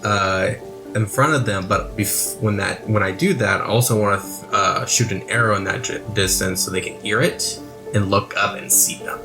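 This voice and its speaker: A man's voice: on the low side, moderate pace, music in the background, rough recording. A man chatting casually as a player in a tabletop game, a bit hesitant as he thinks aloud.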